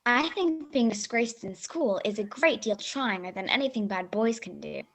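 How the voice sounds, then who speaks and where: Woman as self-important schoolgirl, radio play, fairly high voice, melodramatic, emphatic. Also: expressive, moderate pace, fairly bright tone. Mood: gossipy, scandalized. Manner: melodramatic, emphatic, mangles big words. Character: dramatic, self-important schoolgirl, youngest-sister type.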